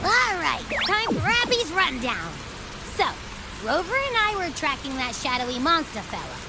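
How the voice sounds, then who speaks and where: A woman's voice: high voice, very animated, normal speed, heavy background noise. A woman voicing a small, perky, cheeky creature companion in a video game, bubbly and casual. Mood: chatty, unsure.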